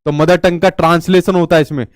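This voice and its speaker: Guy teaching an exam prep class, high voice, chatty, lots of rhetorical questions, dry sound. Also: steady tone, fast, no echo. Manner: chatty, asks rhetorical questions, tells stories.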